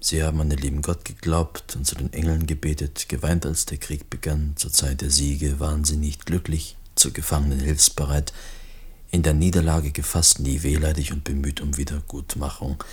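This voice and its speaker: Very deep, husky, earnest filmmaker. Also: male voice, little pitch movement, normal speed, darkish tone, full character voice. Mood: earnest, reflective. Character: earnest, measured filmmaker introducing his aunt's story. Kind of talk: radio drama monologue.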